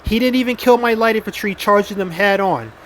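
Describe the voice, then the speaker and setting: A man's voice: sits high, a little fast, a bit bright, slight background noise, poor audio. A man giving casual gameplay commentary as a YouTuber, blunt and rambling, with frequent restarts and some swearing. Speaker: gaming YouTuber.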